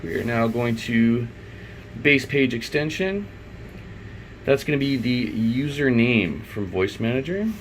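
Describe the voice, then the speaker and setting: Male tech tutorial presenter, mid-pitched, informal and unscripted, thinking aloud over a faint room hum. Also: lively intonation, a little slow, rough recording. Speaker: IT installer giving a software tutorial. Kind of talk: unscripted step-by-step screen walkthrough.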